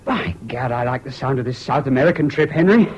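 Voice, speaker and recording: An actor playing a needy, highly strung bridegroom and war veteran, with an English accent and a stammering, pleading manner, in a British radio drama. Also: male voice, animated, fairly quick, lightly acted. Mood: boyish, eager. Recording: dull old radio recording with faint noise.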